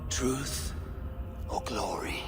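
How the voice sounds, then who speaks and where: An actor playing a resolute, honourable Viking warrior leader in a dramatic video game cutscene, grave and deliberate. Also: male voice, fairly low pitch, animated. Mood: grave, brooding. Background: dramatic game music.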